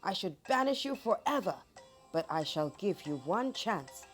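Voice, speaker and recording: A woman's voice: mid-range voice, sing-song, a little slow, soft background music. A woman voicing a fierce, angry male village chief in a children's folk tale, scolding and commanding in a bellow.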